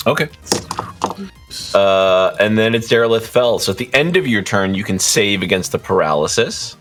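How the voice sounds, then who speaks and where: A man with a low, slightly husky voice runs a tabletop game. His casual narration moves up and down in pitch a lot, with faint music playing behind him. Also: expressive, normal speed. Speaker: game master.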